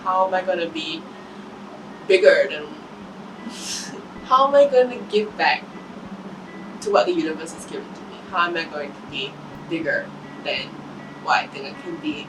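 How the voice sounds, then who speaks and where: A woman's voice: lowish voice, very animated, normal speed, long pauses, recorded from a distance. A woman in her early twenties talking through a reflective personal vlog, thoughtful and self-correcting, with some casual swearing. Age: 20s. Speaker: vlogger. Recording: voice a bit off-mic with room noise.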